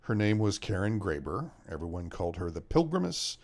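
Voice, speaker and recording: A man's voice: low voice, big pitch swings, brisk, a little mellow. A man playing an earnest brother who talks at length about family history, in a radio drama. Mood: explaining.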